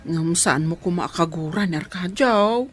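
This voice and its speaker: A woman's voice: on the low side, measured pace, faint music behind, lightly acted. A woman with an Ilocano accent playing a nosy, teasing matchmaker of the household in a radio drama, frank and coaxing.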